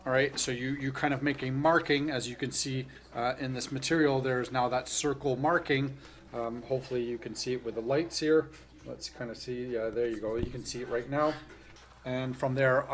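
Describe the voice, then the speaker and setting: A man's voice: mid-range voice, steady tone, normal speed. A man hosting a casual how-to tutorial, explaining step by step in a loose, hesitant way and often correcting himself. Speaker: host of a tutorial channel. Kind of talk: casual hands-on tutorial narration.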